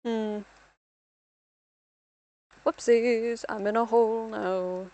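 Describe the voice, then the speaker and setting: A woman's voice: a little high, fairly even intonation, drawn-out words, dark tone. A young woman from Ireland rambling through casual gameplay commentary as a streamer, self-deprecating and talking to herself.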